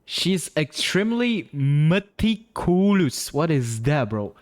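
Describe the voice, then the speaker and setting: A man's voice: a little high, sing-song, slow pace. A man live-streaming a video game, reacting to the story with informal comments and short interjections. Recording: game music behind.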